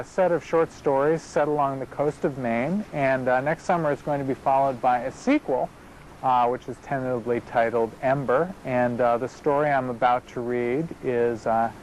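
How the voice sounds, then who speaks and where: A man's voice: mid-range voice, a little hiss or hum, low-quality recording. A man, a local writer, introducing his story with many hesitant fillers. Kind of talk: introduction then fiction reading.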